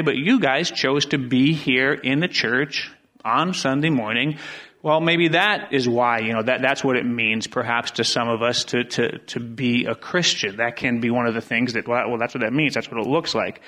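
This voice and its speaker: Middle-aged guy from wisconsin preaching in church, chatty, lots of restarts, mid pitch. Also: fairly bright tone. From Wisconsin, USA. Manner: conversational, rambling with repeated restarts. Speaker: pastor. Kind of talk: sermon. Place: church sanctuary.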